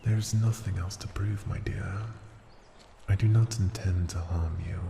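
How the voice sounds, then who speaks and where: An ancient dragon played by a man murmurs in a low, gravelly, breathy voice, often sinking to a whisper. He is seductive and possessive, addressing a mortal with condescending tenderness in an intimate fantasy roleplay. The sound is roomy, with echo around the words. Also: fairly even intonation, warm tone. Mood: reassuring, possessive. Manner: slow, deliberate, teasing endearments. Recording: roomy echo, noisy ambience.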